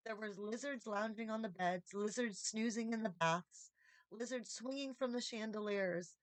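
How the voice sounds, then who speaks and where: A woman reading a children's story aloud as an expressive audiobook narrator. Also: a little high, moderate pace, no echo. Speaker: audiobook reader, channel host.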